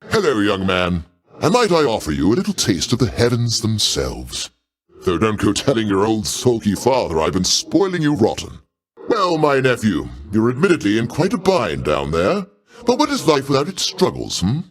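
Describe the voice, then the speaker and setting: A mighty older god king in a video game, speaking like a proud, doting uncle. His delivery is theatrical and courtly, with pitch that swings boldly up and down. He stays genial and grandiose throughout. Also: wildly swooping pitch, moderate pace. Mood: grand, encouraging. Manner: grandiose, avuncular, courtly old-fashioned diction.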